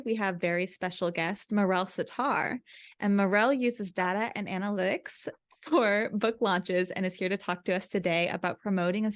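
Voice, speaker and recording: A woman hosting an interview podcast in an upbeat way. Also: mid-range voice.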